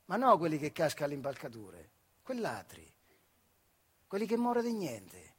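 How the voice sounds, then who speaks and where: Actor as a husky, high-pitched old Marche factory worker. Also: male voice, wildly swooping pitch, moderate pace, a bit bright, full character voice. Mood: brooding, confiding. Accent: central Italian, Marche. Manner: colloquial, rhetorical questions, swings from muttering to outbursts. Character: bitter retired Italian factory worker, weary, sardonic, impassioned. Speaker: stage actor. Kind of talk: theatrical monologue in dialect-tinged speech.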